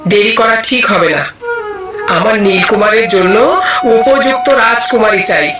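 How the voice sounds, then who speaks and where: A woman's voice: fairly high pitch, close to an everyday voice. A woman playing a doting, decisive queen in a cartoon, speaking formally and with assurance. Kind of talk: cartoon story voice acting. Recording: echoey, distant from mic, music behind.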